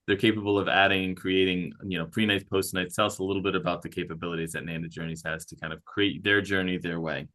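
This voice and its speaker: A man moderating a travel webinar, briskly prompting the others with questions. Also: low-pitched, fairly even intonation, sharp tone.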